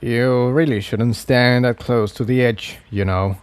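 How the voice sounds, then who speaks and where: A man voicing a gruff, scornful male pegasus pony instructor in a fantasy story reading. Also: mid-range voice, fairly even intonation, measured pace, no echo, lightly acted. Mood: stern. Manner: curt, scornful. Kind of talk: fan fiction story reading.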